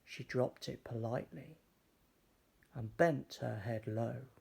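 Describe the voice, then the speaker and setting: A man narrates a children's story with measured, dramatic expression. His pace is very slow and his voice has a creaky, husky grain, at a middling pitch. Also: a little mellow. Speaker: storybook reader.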